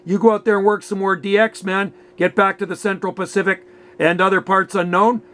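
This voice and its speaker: Guy, high voice, chatting on the radio, chummy slangy operator talk. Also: level tone, fairly quick, a bit bright. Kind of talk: casual radio contact chat.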